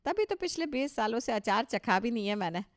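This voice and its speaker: A woman's voice: fairly high pitch, big pitch swings, a little fast. A woman playing a proud, touchy older mother-in-law in a cartoon story, talking emphatically and with self-satisfaction. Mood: expectant. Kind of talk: Hindi cartoon story dialogue.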